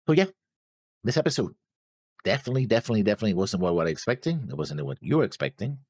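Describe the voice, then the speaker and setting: A man's voice: fairly low pitch, very animated, very fast, a little mellow, husky, no room sound, studio quality. A man chatting casually as a reaction show co-host, agreeing and repeating his words as he goes. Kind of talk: casual chat, discussing an anime episode.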